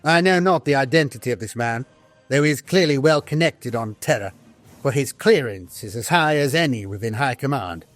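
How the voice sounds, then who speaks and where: A man playing a grave veteran military officer, reading a war journal in a formal, measured, dramatic style. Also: mid-range voice, expressive, faint music behind, natural voice. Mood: solemn, reflective. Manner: solemn, formal, measured delivery. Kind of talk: scripted dramatic audio-drama narration.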